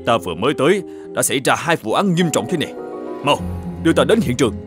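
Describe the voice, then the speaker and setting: A man voicing an upright, determined governor in an animated folk tale, speaking formally and with measured authority. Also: medium pitch, over music, lightly acted. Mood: resolute.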